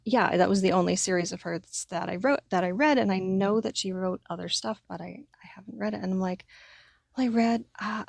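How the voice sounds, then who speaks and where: A woman co-hosting a podcast in a casual, informal way, halting often as she works out her thoughts. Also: mid-range voice, vocal fry, a little mellow, dry recording. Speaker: podcast co-host. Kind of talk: casual chat.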